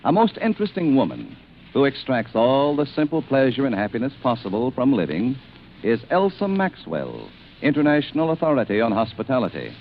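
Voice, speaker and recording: A man's voice: mid-range voice, very animated, brisk. A man delivering a 1940s radio advertisement as the programme's announcer. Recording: vintage 1940s radio, phone-like, noisy.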